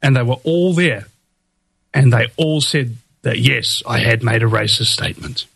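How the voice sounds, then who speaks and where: A man's voice: medium pitch, steady tone, moderate pace, creaky, fairly bright tone, husky, no room sound. Defiant whiny male show host. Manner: defiant, ranting, many fillers and restarts. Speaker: podcast host. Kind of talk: solo monologue.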